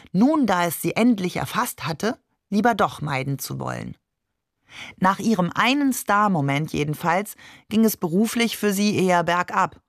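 A woman's voice: medium pitch, no room sound, studio quality. A woman reading a literary story as scripted audiobook narration.

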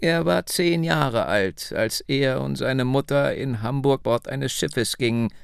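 A man voicing a hundred-year-old woman in an audiobook, wry, insistent and reflective, speaking deliberately and with emphasis. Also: medium pitch, gravelly, smooth, dry recording, studio quality. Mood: earnest storytelling.

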